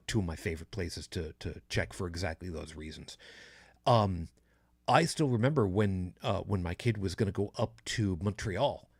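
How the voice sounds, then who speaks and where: A man's voice: on the low side, dry recording, clean studio sound. A man in his fifties hosting a podcast, chatting casually in a rambling way with many restarts. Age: 50s. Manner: rambling, frequent restarts and fillers. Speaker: podcast host. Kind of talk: casual chat.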